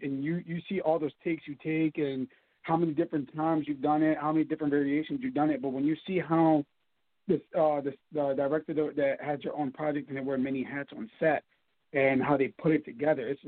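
Male actor guest, raspy, slightly high, dry tinny phone sound. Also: dry recording. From United States. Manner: hesitant, many restarts. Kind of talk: interview answers.

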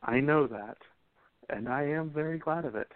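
A kind, reassuring young twenties man, as a man plays him, speaking gently in a middle voice. The sound is dry and close, yet thin and tinny like a phone line. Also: on the high side, moderate pace, no echo, natural voice. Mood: gentle. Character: kind, respectful young 1920s man, sweetheart of the heroine. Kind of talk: amateur radio play acting.

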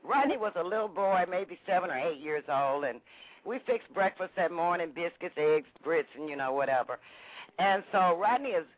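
Deep-voiced older woman on a tinny line. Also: animated, normal speed. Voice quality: deep, big, strong voice. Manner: feisty, sassy. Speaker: show guest, comedian's mother. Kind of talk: casual storytelling on a call-in show. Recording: tinny phone-like line, clear noise, clicks.

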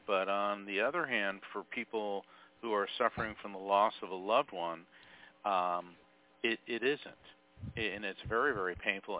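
Male guest, earnest and emphatic, lowish voice, tinny phone-line audio. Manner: earnest, emphatic, drops quieter when speaking of grief. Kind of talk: interview conversation.

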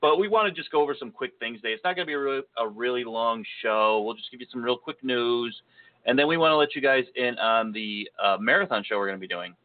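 A man's voice: medium pitch, fast talker. A man hosting a podcast in casual chat, joking and poking fun at himself. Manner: joking, self-deprecating. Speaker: podcast host. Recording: tinny phone-like audio.

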